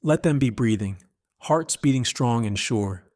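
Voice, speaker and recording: A man's voice: medium pitch, lively intonation, measured pace, a little mellow, no echo, studio quality. A man voicing an anguished army veteran who runs a restaurant, narrating his own story in an intense, earnest audio drama style. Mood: urgent, desperate. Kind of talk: dramatic first-person scripted narration.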